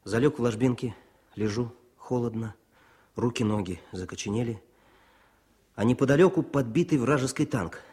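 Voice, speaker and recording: An actor playing a young, modest, cheerful wartime poet and officer in a Soviet radio drama, speaking with expressive eagerness. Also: male voice, medium pitch, moderate pace, long pauses, slightly rough, close to an everyday voice. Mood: animated storytelling. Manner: expressive, eager, declaims verse.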